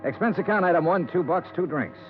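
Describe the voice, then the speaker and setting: Hard-boiled radio detective, animated, tinny sound. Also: male voice, sits high, a little fast, faint music behind. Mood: dry narration. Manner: wry, clipped, matter-of-fact. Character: hard-boiled freelance insurance investigator, world-weary and unflappable. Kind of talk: 1950s radio detective acting and narration. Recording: tinny, band-limited 1950s radio recording.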